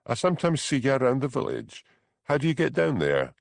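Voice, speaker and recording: A man reading an audiobook, voicing a calm, plain-spoken village policeman with a Scottish Highland accent. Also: a little fast, creaky, no room sound, clean studio sound.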